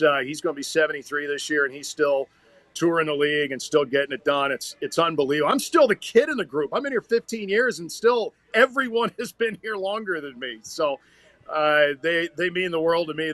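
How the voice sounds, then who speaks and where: A man's voice: a little high, a little fast, a bit bright, no room sound. An American man, a radio hockey announcer, talking and telling stories conversationally as a podcast guest. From Minnesota, United States.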